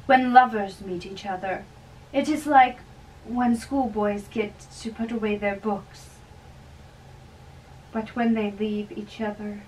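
A woman voicing an ardent young male lover in an amateur reading of a romantic play, softly theatrical, from a distant mic. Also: medium pitch, pitched below the performer's own voice, natural voice. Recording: distant mic with background hiss.

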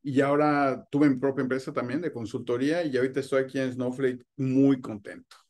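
A man's voice: mid-range voice, level tone, a little fast, darkish tone. A middle-aged man from Mexico City speaks as a guest in a video-call interview, recorded in a home room lined with bookshelves. He talks in a friendly, open way. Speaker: sales engineer, guest.

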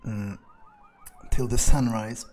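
A man's voice: mid-range voice, steady tone, slow, mellow, a little hiss or hum. A man playing a game in character, muttering sardonic thoughts aloud. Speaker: gamer roleplaying a character.

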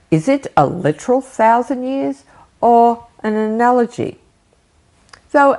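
An older woman preaching a sermon from an armchair at home, talking with her hands and asking her listeners questions. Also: medium pitch. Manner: animated, gesturing, rhetorical questions. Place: living room with bookshelf, indoors. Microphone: fixed camera framing, seated.

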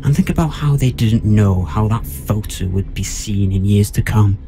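A man acting in an audio drama as a cold, condescending murder suspect who speaks in measured, articulate phrases. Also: on the low side, mellow, a little hiss or hum, natural voice, rough recording. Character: cold, menacing, condescending male murder suspect, philosophizing killer.

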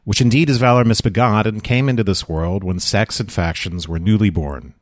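A man playing grave, formal characters in a Shakespeare play reading, delivering verse in a measured way. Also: fairly low pitch, no room sound, clean studio sound, natural voice. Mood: stern. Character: grave, measured male servant or stern senator.

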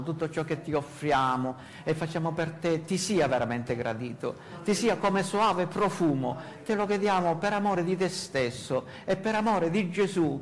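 An older man with a Sicilian Italian accent prays fervently, breaking his words into short, halting phrases. He is a pastor speaking in a small church hall, heard from some distance. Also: a little high. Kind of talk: closing prayer.

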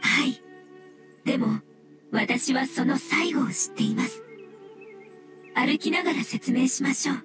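A woman voicing a gentle fungus elemental in an anime game, earnest, formal and measured. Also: sits high, lively intonation. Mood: polite, helpful. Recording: loud music under voice.

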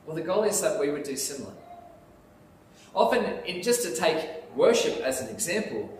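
A man delivers a sermon, animated and emphatic. His voice sits fairly high for a man and booms around a large, reverberant church hall, picked up from some distance. A faint ambient hum hangs behind him. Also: normal speed. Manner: animated, gesturing preacher with lively emphasis. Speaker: pastor. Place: church sanctuary, in front of altar. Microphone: lapel mic, filmed by far camera. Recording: echoey church hall from far off.

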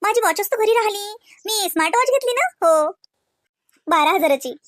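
Show-off cartoon sister-in-law female performer: high, affected, fussy city woman bragging, recorded dry. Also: expressive, normal speed, a bit bright, dry recording. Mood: boastful. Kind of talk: comedy cartoon voice acting.

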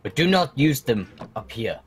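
A man playing a solemn dragon mentor in human form with a measured, portentous manner, in a gaming roleplay. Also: mid-range voice, big pitch swings, close to an everyday voice. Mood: solemn, instructive. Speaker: mentor training young dragon riders. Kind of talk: fan roleplay acting in a gaming video.

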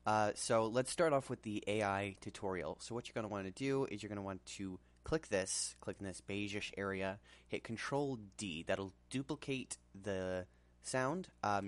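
Low-voiced man giving a casual tutorial. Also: moderate pace, no room sound. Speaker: tutorial presenter. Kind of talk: casual screen-recorded software tutorial.

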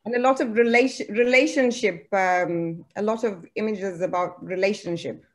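A woman's voice: mid-range voice, moderate pace. A woman in an audience asking casual questions over a video call, rephrasing as she goes.

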